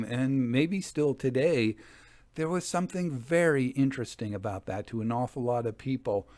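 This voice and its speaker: Measured male storyteller, dry close sound. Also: mid-range voice, darkish tone, dry recording. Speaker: storyteller and host. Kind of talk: story narration and informal commentary.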